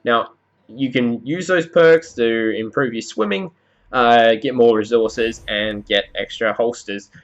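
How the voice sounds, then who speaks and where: A man's voice: medium pitch, measured pace, muffled recording. A man giving a conversational, explanatory video game review as commentary over gameplay.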